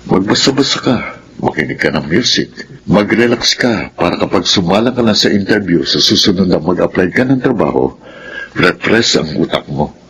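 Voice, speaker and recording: Understanding Filipino dad, very low voice, gentle counsel, radio drama, noisy recording. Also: male voice, lively intonation, moderate pace. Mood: consoling. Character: patient, understanding father of grown children.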